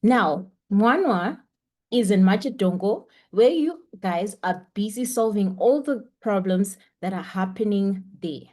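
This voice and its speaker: A young woman runs an online data class over a call, her voice at an ordinary middle pitch. She talks in a chatty, encouraging way and fills her pauses with frequent ums. The sound comes through a computer microphone on a video call. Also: animated, warm tone. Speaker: webinar instructor. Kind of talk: online lecture. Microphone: computer mic on a video call.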